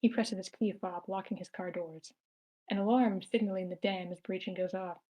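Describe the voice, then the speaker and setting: A woman's voice: mid-range voice, steady tone, normal speed, warm tone, rough recording. A woman narrator reading screenplay stage directions aloud.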